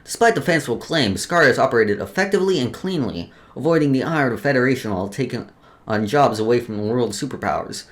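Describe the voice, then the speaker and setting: A man's voice: mid-range voice, expressive, fast talker. A man hosting a let's play, reading game lore aloud with casual asides and often stumbling over words before restarting.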